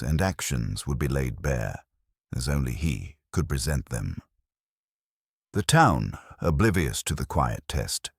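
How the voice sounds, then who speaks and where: A man narrating a scripted mystery story in a measured, formal storytelling manner. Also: very deep voice, dry recording, studio quality. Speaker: audiobook narrator.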